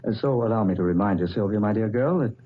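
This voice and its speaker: A man's voice: fast, close to an everyday voice. An actor playing a scheming, murderous male millionaire in a vintage radio play, gloating and sinister. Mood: smooth. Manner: gloating, lively pitch swings, laughs. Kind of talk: 1940s radio acting. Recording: dull lo-fi old radio recording with faint hiss.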